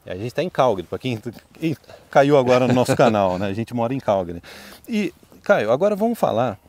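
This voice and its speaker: Fast, animated Brazilian man hosting. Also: medium pitch. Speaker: video host and study-abroad adviser. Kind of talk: casual two-host chat with promotional pitch. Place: outdoors in a wooded residential neighbourhood.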